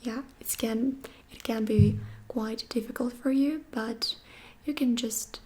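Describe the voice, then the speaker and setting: A woman giving a whispered ASMR language lesson, softly repeating each phrase slowly and close to the microphone. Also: fairly high pitch. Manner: soft whisper, slow repetitions. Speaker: language teacher.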